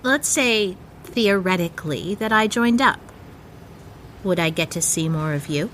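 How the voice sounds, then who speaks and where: Brash, bright-voiced punk rocker. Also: female voice, lowish voice, lively intonation, a little slow, a little hiss or hum. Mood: softer, wry. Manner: blunt, punchy. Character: brash, sarcastic, foul-mouthed young female punk rocker.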